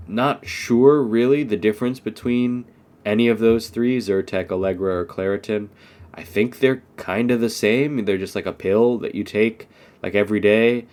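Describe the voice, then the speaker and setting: A man's voice: on the low side, a little mellow. A young American man, stuffed up from allergies, giving an unscripted English lesson and rambling with lots of "um" and "like". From United States. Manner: rambling, many fillers like "um" and "like". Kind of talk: unscripted casual monologue.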